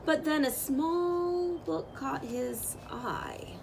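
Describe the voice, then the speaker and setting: A woman with a fairly high voice reads a children's story aloud. Her phrasing is expressive and measured, as a storyteller's. There is some noise in the recording. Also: vocal fry. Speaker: storyteller reading a picture book aloud.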